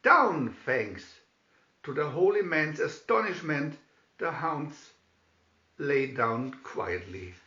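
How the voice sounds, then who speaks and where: A man narrates a storybook dramatically, taking his time. His fairly high voice rises and falls widely, and the recording is dull and echoey. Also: slow pace, a bit bright. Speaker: storybook reader. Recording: dull, roomy home recording.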